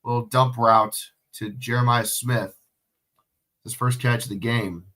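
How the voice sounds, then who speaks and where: A man's voice: medium pitch, steady tone, measured pace, a bit bright. Sniffly sick guy calling football casually. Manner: swearing, chatty, answering chat questions. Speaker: livestream play-by-play commentator. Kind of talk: casual solo play-by-play commentary.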